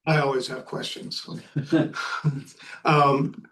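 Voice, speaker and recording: A man's voice: mid-range voice, flat delivery, slow, a bit bright. A man on a city commission asking questions at a public meeting, hesitant, with many fillers. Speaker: commission member. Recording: distant from the mic in a meeting room.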